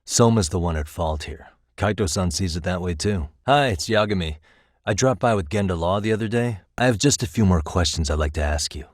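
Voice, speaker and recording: A calm private detective in a video game, with a low voice and a close, dry sound. He speaks in a measured, methodical way, as if reasoning through each point aloud. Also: no room sound. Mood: reassuring, then polite, probing. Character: calm, analytical male private detective, former lawyer. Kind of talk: English video game voice acting, conversational dialogue.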